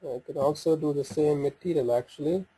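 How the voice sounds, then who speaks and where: A man with a slightly husky voice giving an unscripted software walkthrough, hesitant and thinking aloud as he goes. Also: fairly high pitch, brisk, poor audio. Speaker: software demonstrator. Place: voice-over on a screen recording.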